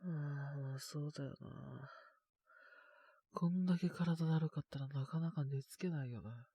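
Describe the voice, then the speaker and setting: A man's voice: on the high side, moderate pace, dark tone, breathy edge, no echo. A man playing a sick, feverish young boyfriend in an audio drama, exhausted and congested, muttering to himself between sighs. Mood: weary, groggy. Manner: sighing, muttering to himself in fragments. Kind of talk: Japanese situation voice roleplay, audio drama.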